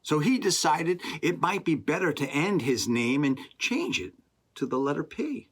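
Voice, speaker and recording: A man reading a rhyming children's story aloud in a deliberate, expressive way, with pauses. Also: on the high side. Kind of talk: rhyming scripted story narration.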